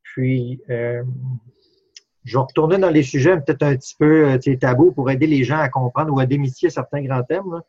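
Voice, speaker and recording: A man's voice: mid-range voice, a little fast, warm tone, breathy edge, no room sound, muffled recording. A man with a Québécois French accent, a psychologist hosting an interview, asking casual questions with many fillers. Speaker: psychologist host.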